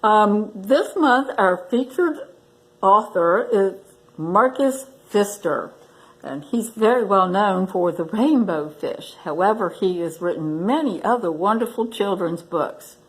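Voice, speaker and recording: A woman reading a children's picture book aloud in a deliberate, expressive storytelling manner. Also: medium pitch, poor audio. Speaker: library staff story reader.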